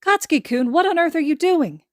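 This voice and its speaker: A woman voicing a doting, anxious mother in a story reading, fussing and halting as she talks. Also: high voice, animated, no echo, studio quality, pitched above the performer's own voice. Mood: nervous, flustered.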